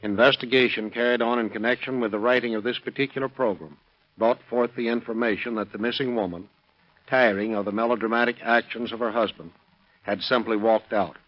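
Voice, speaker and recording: A man speaking as a police chief, giving measured, solemn, formal closing commentary in 1930s radio style. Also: mid-range voice, steady tone. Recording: muffled vintage radio recording.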